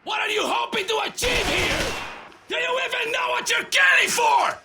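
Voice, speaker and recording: An actor playing an arrogant, furious villain with a Brazilian accent, taunting and contemptuous in a video game. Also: male voice, extremely high pitch, moderate pace, creaky, raised voice, background noise.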